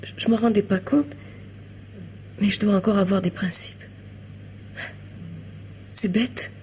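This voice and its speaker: An actress in a French radio mystery drama playing a weary, lovelorn young woman, wistful and hesitant, confessing in a hushed way. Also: mid-range voice, fairly even intonation, measured pace, slight background noise, natural voice. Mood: weary, remorseful.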